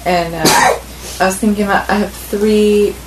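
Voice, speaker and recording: A woman's voice: medium pitch, fairly even intonation, moderate pace, darkish tone, reverberant, slight background noise, rough recording. A woman in a spiritual study group, sharing her thoughts hesitantly with many fillers. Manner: hesitant, many ums and likes.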